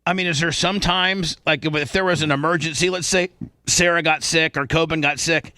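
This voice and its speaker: A man's voice: on the high side, fairly even intonation, fairly quick, bright, forceful tone, no echo. A man hosting casual talk radio, probing with questions and often repeating and rephrasing himself. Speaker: radio show host.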